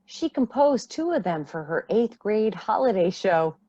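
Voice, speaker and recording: A woman's voice: medium pitch, very animated, measured pace, dark tone, no room sound, lo-fi sound. A woman playing a devoted foster mother, a retired singer, in a radio play. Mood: grateful.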